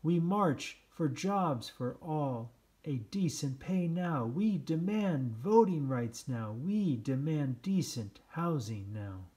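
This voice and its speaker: A man plays a fervent protest marcher from a storybook. The chant is loud, insistent and slow, pushed well up in pitch. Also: lively intonation. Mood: defiant, urgent. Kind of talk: storybook read-aloud.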